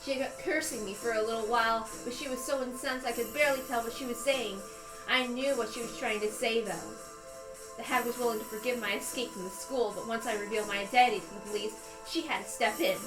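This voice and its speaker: A woman's voice: a little high, fairly even intonation, quick pace, echoey room, rough recording. A woman reading a visual novel aloud as a dramatic first-person narration. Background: background music.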